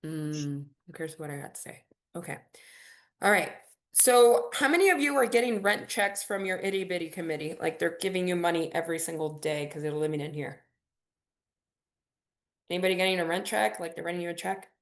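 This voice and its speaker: A woman's voice: lowish voice, fairly quick. A woman in her forties, a native Spanish speaker, hosting a business coaching workshop with energy and spelling out key words. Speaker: workshop host.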